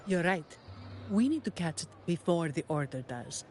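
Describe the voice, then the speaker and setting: An actress playing a level-headed investigator in a video game, explaining things in a composed, matter-of-fact way. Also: female voice, fairly low pitch, big pitch swings, moderate pace, noisy background. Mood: composed, thoughtful. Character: level-headed female investigator guiding a foreign guest.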